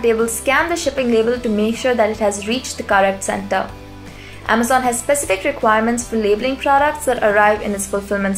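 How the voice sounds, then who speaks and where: A woman reading a scripted explainer narration in an even, polished voice-over. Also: on the high side. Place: voice-over over stock footage. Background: soft background music.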